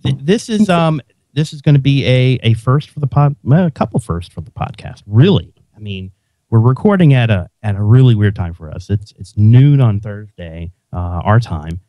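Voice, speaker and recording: A man's voice: mid-range voice, animated, normal speed, dark tone, no room sound. A man hosting a podcast, chatting casually and restarting his sentences often. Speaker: podcast host. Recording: dull lo-fi sound.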